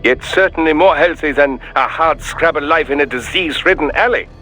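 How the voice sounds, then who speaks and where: Polite egghead doctor from a video game, high sharp voice, very formal, music behind. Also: male voice, fairly quick, poor audio. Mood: dry, measured. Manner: formal, precise, wordy. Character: erudite male scientist doctor, polite, dryly pragmatic.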